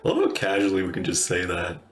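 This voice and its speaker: A woman's voice: very deep voice, little pitch movement, quick pace. A woman giving casual, chatty reaction commentary as she streams a game. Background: faint game music.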